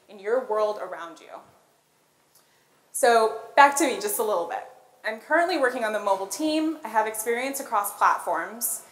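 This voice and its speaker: A woman's voice: mid-range voice, a bit bright. An American woman giving a rehearsed but conversational conference talk, telling stories and putting questions to the audience. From born in Colombia, grew up in Los Angeles. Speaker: conference keynote speaker, product designer. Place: on stage in a large auditorium. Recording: roomy echo of an auditorium.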